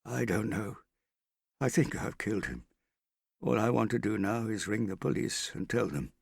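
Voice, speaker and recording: A man voicing a remorseful, bewildered older father who mumbles, with a husky, gravelly voice. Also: lowish voice, animated, moderate pace, no room sound, studio quality, voice pushed up, full character voice. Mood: guilty.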